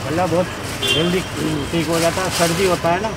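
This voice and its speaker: A man in his fifties with a slightly high voice talks casually from a roadside food stall. He explains things patiently by repeating them, with bits of halting, broken English mixed in. Heavy traffic and street noise surround him. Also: level tone. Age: 50s. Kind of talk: casual chat with customers. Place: outdoors at a roadside food cart.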